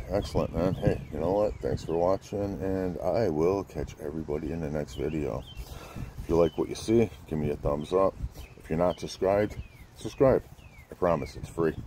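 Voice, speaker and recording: A man with a very low, deep voice presents a product in a casual vlog style. He is informal and easygoing, often pausing and filling as he goes. The recording is outdoors, with clear wind noise around the voice. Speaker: fireworks channel host. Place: outdoors in a windy spot by a pickup truck bed. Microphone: handheld camera setup with an added mic.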